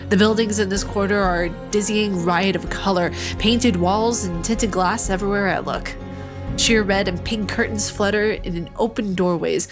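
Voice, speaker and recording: A playful woman with a mid-range voice reads a visual novel's story text aloud, then drops into joking commentary and laughs. Game music plays clearly behind her. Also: mid-range voice. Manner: playful, joking asides between narrated passages, frequent laughs. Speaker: let's play host.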